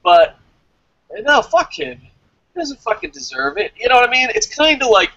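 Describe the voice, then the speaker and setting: A man's voice: very high-pitched, big pitch swings, moderate pace, vocal fry, bright, forceful tone, lo-fi sound. A man chatting casually as a review show host, opinionated and emphatic, swearing freely and rambling as he goes.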